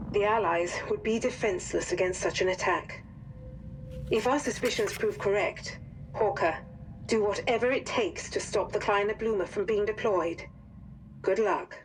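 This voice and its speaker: An actress playing a resolute female wartime intelligence officer, delivering a formal, measured mission briefing in a video game. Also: medium pitch, natural voice. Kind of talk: scripted mission briefing narration. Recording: faint background noise.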